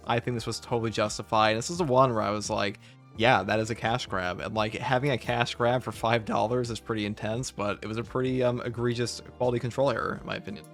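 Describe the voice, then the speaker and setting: A man who makes gaming videos, casually discussing a video game and explaining his opinions in a measured way. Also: mid-range voice, fairly bright tone. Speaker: gaming YouTuber.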